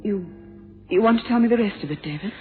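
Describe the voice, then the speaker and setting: A woman's voice: mid-range voice, quick pace, over music, natural voice. An actress playing a sympathetic, observant young woman in a suspense radio drama, with a tentative manner. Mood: tender concern. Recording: phone-like vintage radio recording.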